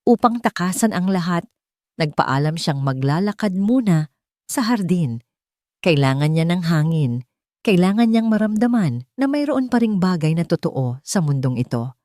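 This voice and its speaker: Female audiobook narrator, lowish pitch, dramatic scripted storytelling, dry studio-like sound. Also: big pitch swings, moderate pace, mellow, no echo.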